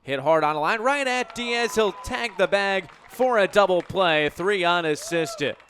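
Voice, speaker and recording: A man's voice: sits high, a little slow, a bit bright, faint music behind, a faint crowd. A man calling baseball play-by-play for radio in punchy, clipped phrases that swell on big plays. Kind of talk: live sports play-by-play commentary.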